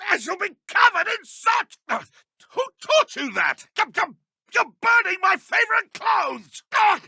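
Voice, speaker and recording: An actor playing a haughty, menacing dark wizard in a video game, with a British accent and pompous, formal, theatrical delivery. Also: male voice, very high voice, very animated, slow pace, gravelly, no room sound, studio quality, full character voice. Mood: panicked, shouting.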